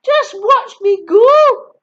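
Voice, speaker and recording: A woman voicing a wild, greedy old grandmother with a scratchy voice, shouting her demands. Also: very high-pitched, steady tone, slow pace, voice pushed up, heavily acted. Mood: exultant. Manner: shrieking, bossy demands. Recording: dull lo-fi recording.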